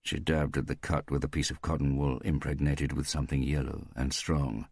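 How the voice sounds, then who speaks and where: A man narrates a crime thriller audiobook in an extremely low voice. The recording is dry and close, with no room sound around his scripted storytelling. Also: flat delivery, moderate pace, a little mellow, slightly rough, no echo.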